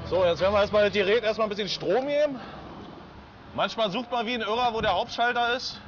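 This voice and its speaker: A middle-aged man, a truck driver, talking in a brash, joking, hurried way while working outdoors at a port cargo yard. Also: high-pitched, muffled recording, faint music behind. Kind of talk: casual talk at work.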